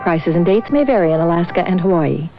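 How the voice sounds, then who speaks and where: A woman's voice: expressive, quick pace. A woman reading an upbeat radio commercial. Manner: upbeat sales pitch. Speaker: announcer. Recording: dull old radio ad with music behind.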